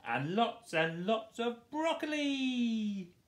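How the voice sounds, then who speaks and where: A man's voice: very high-pitched, sing-song, takes it slowly, pitched above the performer's own voice, full character voice. A man voicing a sweet female wild boar from a children's storybook in a put-on feminine voice. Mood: eager, apologetic. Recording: roomy home echo.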